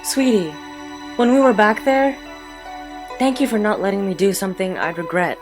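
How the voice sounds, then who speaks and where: A woman playing an earnest, idealistic young boy hero in an animated comic dub. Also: mid-range voice, moderate pace, over music, lightly acted. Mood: conciliatory.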